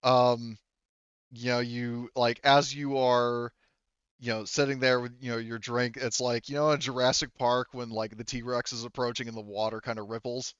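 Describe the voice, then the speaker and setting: Male game master, casual and rambling, clear smooth voice, close dry sound on a slightly dull mic. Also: mid-range voice, little pitch movement, fairly bright tone, no room sound. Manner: halting, rambling, searching for words. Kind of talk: casual improvised tabletop game narration.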